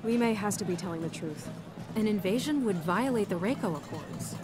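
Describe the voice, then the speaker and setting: An actress as a young woman leading a fighting squad in a game. She is assertive and flippant in a mid-range voice. Music plays clearly underneath. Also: mid-range voice, lively intonation, a little fast, close to an everyday voice. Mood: earnest. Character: brash young female squad leader.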